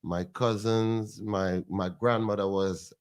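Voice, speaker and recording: A man with a deep voice and a Trinidadian accent, a soca artist talking confidently and boastfully in a casual interview. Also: steady tone, takes it slowly, clear, resonant tone. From Trinidad and Tobago. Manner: confident, boastful, laid-back.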